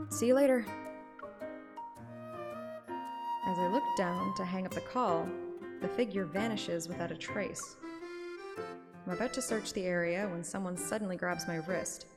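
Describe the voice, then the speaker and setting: A woman livestreamer with a playful, chatty manner, talking casually and reading a game story aloud. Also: on the low side. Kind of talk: casual stream chat and expressive game read-aloud.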